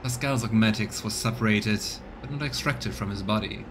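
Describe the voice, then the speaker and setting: A man doing live let's play commentary, reading game text aloud and thinking out loud for his viewers. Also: lowish voice, normal speed, background noise. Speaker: live streamer voicing a game playthrough.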